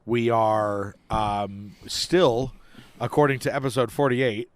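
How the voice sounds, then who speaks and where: A young man co-hosting a casual podcast, chatty and quick to laugh, recorded outdoors on a porch. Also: medium pitch, little pitch movement, no echo. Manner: chatty, laughs often, talks over his co-host. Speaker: podcast co-host. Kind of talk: casual chat.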